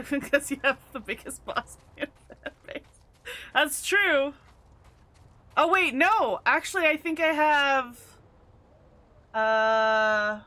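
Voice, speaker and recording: Woman game streamer, bright voice, slightly high, chatty, playful. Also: a little hiss or hum. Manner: chatty, playful, laughs often. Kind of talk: casual gameplay commentary.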